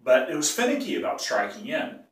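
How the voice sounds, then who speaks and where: An older man with a cold teaches bagpipe technique in a casual, rambling way. He keeps clearing his throat and stops to correct himself. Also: on the high side, wildly swooping pitch, fairly quick. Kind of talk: casual tutorial. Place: small tiled bathroom. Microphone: phone or camera some feet away. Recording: echoey bathroom, voice from a distance.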